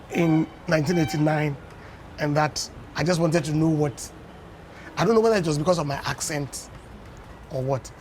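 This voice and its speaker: A Ghanaian man in his fifties, a former dance champion, tells unhurried stories as a guest on a breakfast TV interview, often adding "you know.". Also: fairly high pitch, slight background noise. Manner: anecdotal storyteller, unhurried with many "you know"s. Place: television studio.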